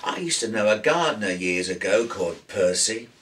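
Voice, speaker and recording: A man voicing a talkative, wry, eccentric young man in his twenties for a storybook, with an animated, musing manner. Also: lowish voice, moderate pace, recorded from a distance. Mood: chatty, musing. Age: young adult. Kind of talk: audiobook character voice.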